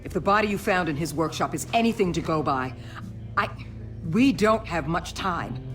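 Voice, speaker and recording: A woman's voice: fairly low pitch, lively intonation, normal speed, close to an everyday voice. A woman plays a hard, commanding female agency boss in a dramatic video game. She speaks bluntly and with ruthless authority. Faint music sits behind her voice. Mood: grave.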